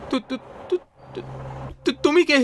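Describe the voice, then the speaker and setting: A man voicing an anxious, easily frightened family father in an animated horror story. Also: very high voice, takes it slowly, slight background noise. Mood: terrified. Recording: loud music bed behind voice.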